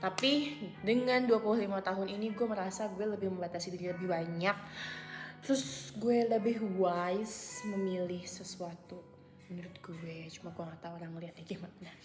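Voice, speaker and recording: Young woman, mid-twenties, casual vlog chat, lots of fillers, roomy echo, faint music underneath. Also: medium pitch. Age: 20s. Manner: chatty, with many fillers and English phrases mixed in. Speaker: vlogger. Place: at home, indoors. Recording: echoey room.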